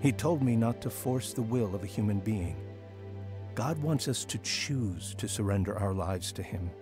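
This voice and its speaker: Repentant middle-aged American husband played by a man, sincere first-person radio drama narration, lower register, scored with music. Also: lively intonation, moderate pace, lightly acted. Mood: earnest. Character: earnest repentant father and husband telling his life story. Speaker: lead actor narrating his testimony. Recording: music bed under the voice.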